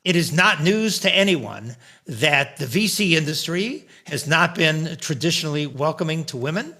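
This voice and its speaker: An older man hosting his own podcast, a professor who speaks in a deliberate, lecturing way with occasional "uh"s. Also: on the high side, lively intonation, sharp tone. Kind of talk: podcast interview.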